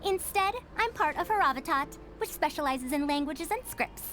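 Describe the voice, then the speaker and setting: A prim snooty senior researcher lady in a video game, high voice, swoops around a lot, music under it. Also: lively intonation, moderate pace, fairly bright tone. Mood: prim, lofty. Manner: prim, self-important, lofty phrasing. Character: haughty senior female scholar of ancient languages and ruins.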